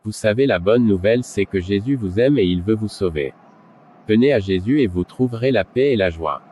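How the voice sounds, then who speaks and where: A man playing a caring, urgent street evangelist who exhorts passers-by in a scripted animated drama. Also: lowish voice, fairly quick, lightly acted. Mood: fervent. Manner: preaching, exhorting. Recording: dull lo-fi sound, background noise.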